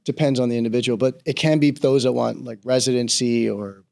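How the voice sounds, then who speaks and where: A middle-aged man from the Bahamas, a real estate broker chatting as a guest in an interview, telling stories in a relaxed, rambling way. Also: mid-range voice, brisk, vocal fry. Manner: relaxed, rambling storyteller, laughs easily. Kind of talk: casual interview conversation.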